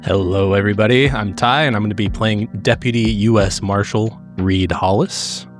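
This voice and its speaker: A man playing a tabletop game, casually describing his character and haltingly thinking aloud. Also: lowish voice, fairly even intonation, soft background music. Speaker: player in a role-playing game.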